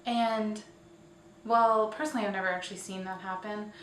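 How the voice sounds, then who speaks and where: A woman's voice: mid-range voice, steady tone, fairly quick. A woman hosting a casual online show, talking informally and a little hesitantly as if thinking aloud. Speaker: host of a weekly online show. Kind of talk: casual scripted-outline vlog commentary. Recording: roomy, distant mic, faint noise.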